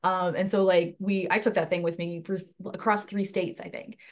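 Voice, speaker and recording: A woman's voice: lowish voice, flat delivery, normal speed. Chatty woman hosting a webinar panel. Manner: chatty, rambling storyteller. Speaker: host. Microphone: headset.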